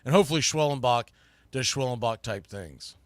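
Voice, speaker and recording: A man's voice: mid-range voice, fairly quick, no room sound, studio quality. An American man hosting a baseball podcast, giving emphatic, opinionated, folksy off-the-cuff commentary. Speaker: baseball podcast host and broadcaster.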